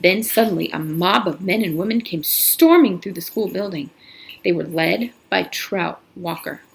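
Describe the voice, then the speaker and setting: Woman reader, low gravelly voice, casual read-aloud, stumbles now and then. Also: normal speed, poor audio. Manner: casual, with slips and restarts. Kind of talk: reading a novel aloud.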